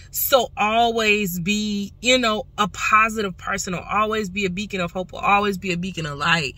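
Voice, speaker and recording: A woman's voice: mid-range voice, bright, forceful tone. A young woman chatting casually to camera in a folksy, conversational way, recorded on a phone inside a car. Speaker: vlogger. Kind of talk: casual vlog chat to camera. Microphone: phone at arm's length.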